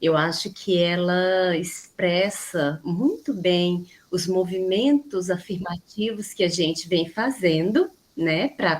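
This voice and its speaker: A Brazilian woman anthropology professor introducing herself on a video call, measured and full of pauses. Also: lowish voice. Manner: slow, measured, many hesitations.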